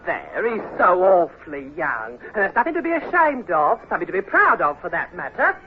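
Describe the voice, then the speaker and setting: An actress playing a brash, jolly Duchess in a radio drama, theatrical and gushing, with a condescending, flirty manner. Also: female voice, on the low side, huge pitch swings, fairly quick. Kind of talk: vintage radio drama acting. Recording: muffled, noisy old radio recording.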